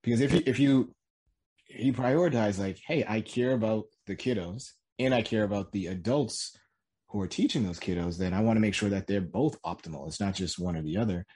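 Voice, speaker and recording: A man's voice: lowish voice, brisk, a little mellow, husky. A man hosting a conversational podcast, musing aloud and summing up what others have said. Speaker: podcast host.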